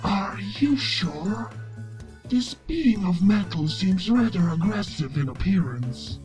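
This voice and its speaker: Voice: mid-range voice, expressive, takes it slowly, darkish tone, soft background music. A performer voicing a curious, wary male mechanic creature in a fan fiction reading, with formal, demanding phrasing.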